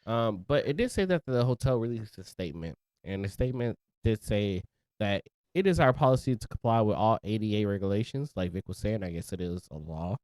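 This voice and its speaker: A man's voice: on the low side, animated, brisk, a little mellow, dry recording. A young man co-hosting a casual podcast, joking and teasing his co-host between news stories and laughing often. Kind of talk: casual banter and news discussion.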